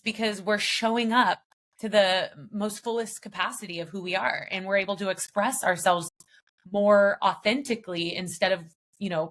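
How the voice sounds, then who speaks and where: A woman guest in a podcast interview, speaking emphatically and casually about mindset, with an occasional light swear. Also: medium pitch. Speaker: guest, business founder. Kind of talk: motivational interview talk.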